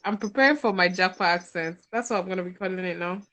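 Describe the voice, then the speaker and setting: A woman's voice: fairly low pitch, fast, fairly bright tone. Chatty Nigerian woman hosting casually. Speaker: podcast host. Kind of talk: casual group chat.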